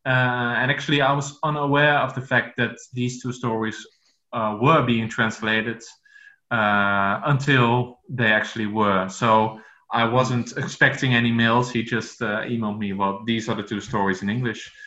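A Dutch-born man speaking English as his second language, pausing often and restarting his sentences. His voice has a bright, forceful edge. It sounds roomy and a little dull, as if recorded remotely. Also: medium pitch, fairly even intonation. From Netherlands. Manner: hesitant, many fillers and restarts. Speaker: author guest. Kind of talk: podcast interview.

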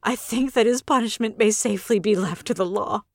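A woman's voice: mid-range voice, fairly quick, darkish tone, no room sound, studio quality, lightly acted. A woman voicing a scheming noblewoman who feigns grief and outrage, in a theatrical, tearful way. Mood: cool. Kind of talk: dramatic audiobook reading.

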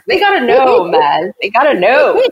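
A woman hosting a casual interview, chatting and telling stories in a playful, animated way. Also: a little high, normal speed, husky, dull audio. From Nigeria. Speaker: podcast host.

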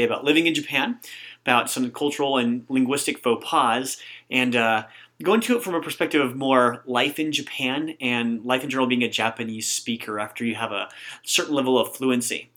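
A man's voice: mid-range voice, fairly bright tone. A man hosting a casual language-learning podcast interview, upbeat and joking with his guests. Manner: upbeat, joking, quick asides. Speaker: podcast host.

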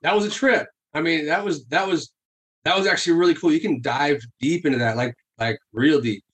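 A man's voice: a little high, brisk, fairly bright tone, breathy edge. A man chatting casually on a podcast, telling stories with frequent laughs and swearing. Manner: storytelling, swears freely, laughs often.

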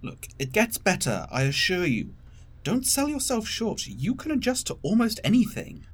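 A stressed, pragmatic leader played by an actor who insists on control in an audio drama. The voice is husky and the intonation swings very widely. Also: mid-range voice, huge pitch swings, moderate pace. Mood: reassuring, strained.

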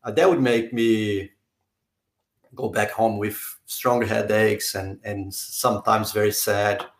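A man from Brazil, speaking as an interview guest in a halting way, often stopping to search for words and restart. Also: on the low side, fairly even intonation. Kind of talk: conversational interview. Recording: roomy echo.

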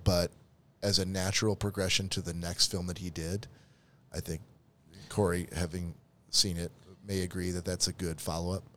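A man's voice: low voice, flat delivery, moderate pace, a bit bright, a little hiss or hum. A man in casual conversation about a movie, often restarting and repeating his words. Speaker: podcast co-host.

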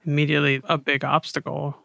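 A woman's voice: low voice, flat delivery, quick pace, creaky, a bit bright, no room sound, clean studio sound. A woman hosting a podcast interview in casual chat, inquisitive and a little self-deprecating.

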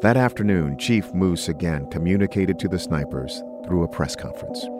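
A male podcast narrator with a deep voice tells a scripted true-crime story. A music bed plays clearly behind him. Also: expressive, normal speed.

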